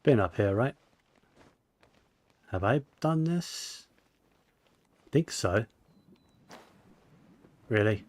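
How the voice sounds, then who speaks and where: A man giving casual gameplay commentary, musing to himself in a low-key way. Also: lively intonation, extremely slow, long pauses, a little mellow, no room sound. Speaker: gaming streamer. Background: faint game music.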